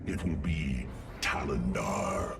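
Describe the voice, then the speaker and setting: An actor playing a proud, honorable machine warrior in a science fiction game, with a gravelly, dignified delivery. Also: male voice, animated, noisy background, heavily acted. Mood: warm, dignified. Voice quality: very deep, gravelly. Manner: dignified, deliberate. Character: proud, honorable robotic alien warrior, loyal friend. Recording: distant sound, cinematic score behind.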